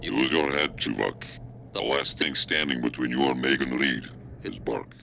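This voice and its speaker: Very deep, gravelly hacker. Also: male voice, background noise. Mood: grave, knowing. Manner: measured, cryptic, blunt. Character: shadowy male hacker informant, cool, calculating. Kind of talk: video game dramatic acting. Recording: music behind the voice.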